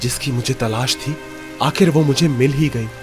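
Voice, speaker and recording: A man's voice: mid-range voice, moderate pace, over music, lightly acted. A man playing a young, stubborn, suspicious fisherman in a dramatised cartoon story, emphatic and dramatic. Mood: brooding.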